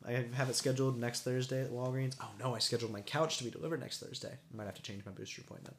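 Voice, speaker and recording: A man's voice: mid-range voice, fairly even intonation, racing. Stuffy-nosed laid-back man in a roomy space. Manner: laid-back, swears and laughs often. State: stuffy nose. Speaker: podcast co-host. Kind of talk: casual chat.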